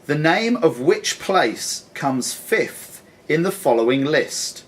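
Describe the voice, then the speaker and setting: A man acting as quizmaster, reading questions aloud in a deliberate, formal announcing way in a club room. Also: fairly high pitch, very animated, breathy edge. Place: sports and social club room. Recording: noisy live recording in club room.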